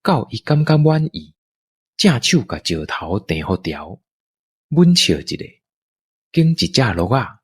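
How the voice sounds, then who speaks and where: A man's voice: fairly low pitch, slightly rough. A man with a Taiwanese accent narrating a scripted adventure story.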